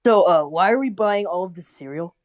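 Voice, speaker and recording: A woman's voice: fairly low pitch, expressive, a little fast, darkish tone, close to an everyday voice. Woman playing an easygoing, mild old grandfather. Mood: patient.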